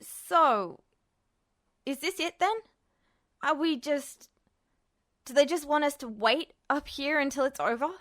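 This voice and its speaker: Audiobook role: frightened, defiant youth male, played by a woman, high-pitched, agitated, snappish. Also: takes it slowly, long pauses, a bit bright. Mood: uneasy. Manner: agitated, muttering or gasping.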